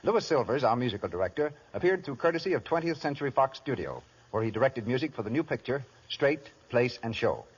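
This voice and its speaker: An American man announcing on 1930s radio, reading out scripted credits in a slightly rough voice. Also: medium pitch, sing-song, brisk, hardly any pauses. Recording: muffled 1930s radio recording.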